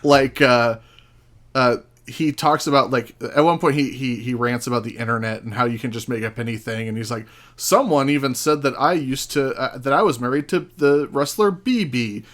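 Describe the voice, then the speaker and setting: A man's voice: mid-range voice, moderate pace, a bit bright. A man with a mid-range voice speaks as a guest on a podcast. He chats casually, swears freely and relays quotes in a loose, conversational way. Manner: informal, profane, acts out quotes conversationally. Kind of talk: casual chat.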